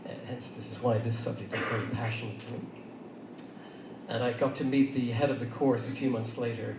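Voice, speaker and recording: A man's voice: medium pitch, steady tone, brisk. Irish man, middle-aged, talking from a distance in a roomy hall, noisy audio. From Ireland. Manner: loose, anecdotal, with many fillers and restarts. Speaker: panel speaker. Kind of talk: conversational talk to an audience. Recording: roomy far-off hall recording with noise.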